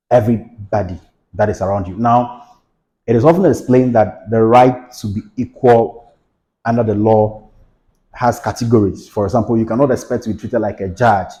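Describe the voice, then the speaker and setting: Low, mellow-voiced man explaining law. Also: rough recording. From Ghana. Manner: patient, repeats points with everyday examples. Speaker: legal educator. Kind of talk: explanatory lecture to camera.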